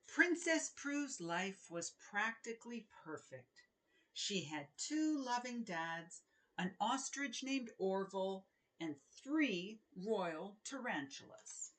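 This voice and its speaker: Middle-aged female children's author, unhurried pace, expressive read-aloud delivery, words carefully stressed for young listeners. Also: mid-range voice, low-quality recording. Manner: expressive, deliberate storytelling with emphasized words.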